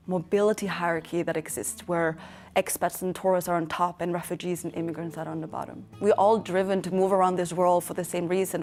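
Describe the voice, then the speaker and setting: A young woman from western Norway speaks English with a Norwegian accent, earnest and measured, in a reflective interview. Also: lowish voice. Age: young adult. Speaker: founder of a storytelling organisation, interviewee. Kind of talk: reflective interview monologue to camera. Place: dark indoor studio.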